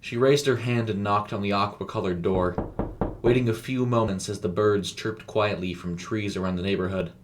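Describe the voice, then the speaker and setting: Low-voiced man narrating a story. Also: steady tone, moderate pace, slight background noise. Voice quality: low-pitched.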